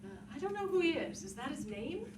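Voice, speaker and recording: A woman's voice: high voice, very animated, fast talker. A woman reading the part of an inquisitive, puzzled man at a funeral, questioning and hesitant, in a dramatic literary reading. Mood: puzzled, curious. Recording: distant, echoey, noisy room.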